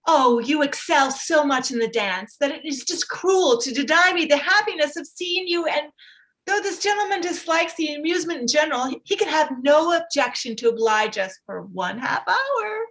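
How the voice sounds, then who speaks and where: Woman playing a high-pitched, fussy, gushing period mother. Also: expressive, moderate pace, fairly bright tone, low-quality recording. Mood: pushy, gushing. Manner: gushing, effusive, pleading. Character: fussy, excitable middle-aged mother scheming to marry off daughters. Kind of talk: theatrical staged reading of a period play.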